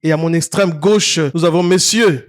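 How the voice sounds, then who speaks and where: A man from Congo-Kinshasa hosting a talk show in a studio, asking dramatic rhetorical questions with lively emphasis. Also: a little high, moderate pace. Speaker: host. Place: studio set.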